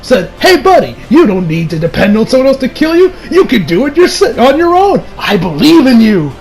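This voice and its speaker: A man giving a casual, rambling review in an informal, excitable, sweary way. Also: extremely high pitch, moderate pace, gravelly, soft background music. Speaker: YouTube movie reviewer.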